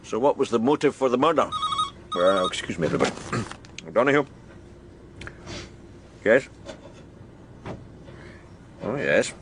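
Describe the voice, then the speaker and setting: An actor playing a senior male detective leading his team in a radio crime drama, brisk, questioning and authoritative. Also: mid-range voice, sing-song, background noise. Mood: authoritative, inquiring.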